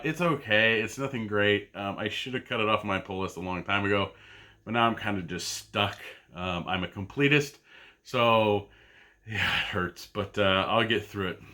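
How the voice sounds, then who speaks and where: A man's voice: mid-range voice, sharp tone. A man in his thirties hosting a casual comic book show from home, rambling through his weekly picks with lots of fillers and restarts. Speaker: comic book podcast host. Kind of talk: casual review of a weekly comic pull list. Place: home basement.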